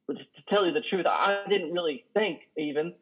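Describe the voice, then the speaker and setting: A man performs a lonely, awkward trucker in a play reading. He is folksy and self-deprecating, stumbling nervously over his words in a highish, gravelly voice. The video-call audio is dull. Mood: nervous, apologetic. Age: 30s. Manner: halting, stammering, nervous. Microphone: headset on a video call.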